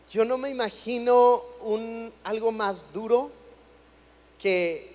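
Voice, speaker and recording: Young guy from chihuahua preaching, high voice, talks like a chat, echoes around a big hall. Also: darkish tone. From Chihuahua, Mexico. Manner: conversational, repeats words when starting phrases. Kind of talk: sermon. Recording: long hall reverb.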